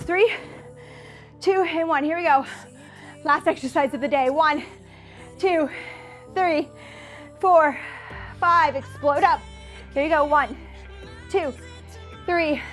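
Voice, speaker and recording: A high-voiced woman leads a workout as a fitness instructor, counting and cueing moves. She is chatty and encouraging, slightly stuffed up, with faint music in the recording. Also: fairly bright tone. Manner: chatty, encouraging, self-deprecating asides. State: stuffed-up nose.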